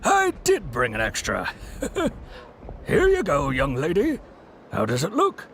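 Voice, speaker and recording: A man's voice: on the high side, wildly swooping pitch, slow, fairly bright tone, a little hiss or hum, full character voice. A man voicing a friendly, folksy traveling merchant in a video game, speaking without hurry. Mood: genial. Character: friendly, chuckling male traveling stone merchant.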